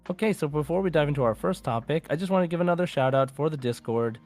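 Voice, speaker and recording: A man's voice: on the high side, lively intonation, fast, a little mellow, faint music behind. A man hosting a podcast, chatting informally and conversationally about a video game. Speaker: podcast host.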